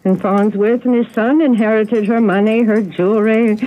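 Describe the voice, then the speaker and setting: An actress playing a genteel elderly spinster in a radio drama, hospitable yet haunted and bitter, with an emotional way of telling things. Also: female voice, fairly high pitch, fairly even intonation, moderate pace, dark tone, lightly acted. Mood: wistful, bitter. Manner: gracious, emotional storytelling. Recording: tinny, noisy old radio broadcast.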